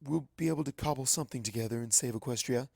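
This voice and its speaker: A man's voice: medium pitch, fast, vocal fry, darkish tone, dry recording, studio quality. A man voicing a regal, commanding female pony princess, a stately mentor, in a storybook reading. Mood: composed, approving. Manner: stately, addressing a student. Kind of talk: storybook character voice.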